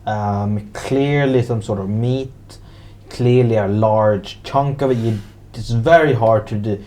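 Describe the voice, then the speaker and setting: A man's voice: lowish voice, takes it slowly, warm tone. A man improvising narration as the game master of a tabletop role-playing game.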